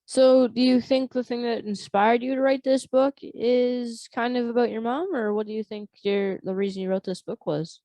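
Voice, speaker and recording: A woman's voice: fairly high pitch, fairly even intonation, normal speed, hardly any pauses, dark tone, no room sound. A woman hosting a book review show, asking questions in a casual interview style. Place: remote online call.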